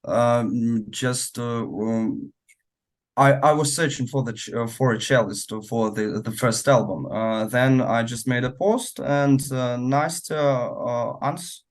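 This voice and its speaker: Male musician, slow and halting in interview, mid-pitched. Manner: hesitant, many fillers and restarts. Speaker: band musician.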